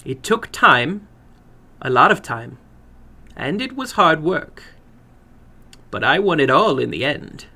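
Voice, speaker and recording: Man voicing a high, weary, apologetic older woman. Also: sing-song, slow pace, pauses often, bright, forceful tone, full character voice. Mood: weary, regretful. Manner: emphatic, apologetic, regretful. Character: small, rather old Spanish-speaking woman, apologetic, weary. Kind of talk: dramatic horror story reading.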